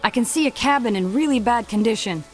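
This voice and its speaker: A woman plays a plucky young Smurf adventurer in an animated game. Her fairly high voice is confident and direct, with faint music playing underneath. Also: fast, close to an everyday voice. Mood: alert, confident. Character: bold, no-nonsense young female Smurf adventurer. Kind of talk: animated video game voice acting.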